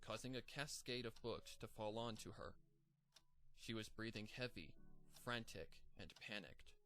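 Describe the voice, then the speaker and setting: A man narrating a dark fan story in a measured, grim storytelling manner over background music. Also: lowish voice. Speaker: fanfiction narrator. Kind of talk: dramatic story reading.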